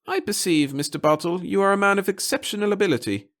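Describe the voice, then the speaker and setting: A man's voice: sits high, quick pace, a bit bright, pitched above the performer's own voice. A man voicing a small, nervous, fussy bureaucrat in an audiobook, speaking in formal, wordy, obsequious sentences. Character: little wizened fussy nervous male bureaucrat with hidden cunning. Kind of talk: audiobook character acting.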